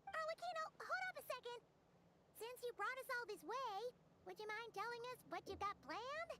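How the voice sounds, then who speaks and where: An actress voicing a tiny, excitable, curious companion with a squeaky childlike voice in a video game. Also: female voice, moderate pace, heavy background noise. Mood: questioning. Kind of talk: scripted video game dialogue.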